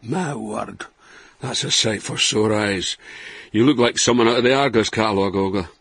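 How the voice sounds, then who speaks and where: A man's voice: lowish voice, normal speed, vocal fry, a bit bright, husky, no room sound, exaggerated voice. An actor playing a roguish, boastful Scottish man in his sixties from Dundee in a radio comedy, colloquial and mock-sincere. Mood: flirty, smooth. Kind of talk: radio comedy acting, reading out emails.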